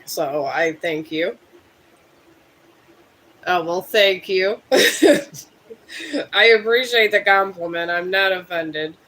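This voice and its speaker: High, bright-voiced man in his fifties. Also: lively intonation, takes it slowly, pauses often, low-quality recording. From Cleveland, Ohio. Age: 50s. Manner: rambling, musing, self-deprecating. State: a bit high. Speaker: live streamer. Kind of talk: casual live stream chat with viewers.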